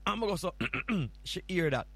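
A man with a Jamaican accent sits in as host of a morning radio show, talking in a relaxed, playful way. He rambles and teases, drifting from story to story, and his voice sits slightly higher than usual. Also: a little fast. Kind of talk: casual morning show chat.

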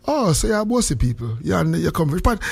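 A man's voice: a little high, sing-song, a little fast, creaky, husky. A Jamaican man hosting a live call-in radio show, chatting in a Jamaican accent with sing-song, exuberant greetings. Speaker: gospel radio show host. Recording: faint music behind the voice. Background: music.

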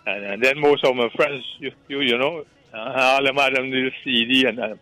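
A man's voice: mid-range voice, brisk, bright, forceful tone, poor audio. A man with a Guyanese accent, calling into a radio show and rambling on, often stammering and repeating his words. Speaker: caller. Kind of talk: call-in radio chat.

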